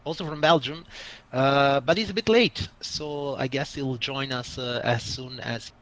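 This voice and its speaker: A man's voice: medium pitch, a little slow, fairly bright tone, poor audio. A man from Italy, running a tabletop game as game master, talking with frequent hesitations. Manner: hesitant, many filler sounds. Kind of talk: hosting a tabletop game stream.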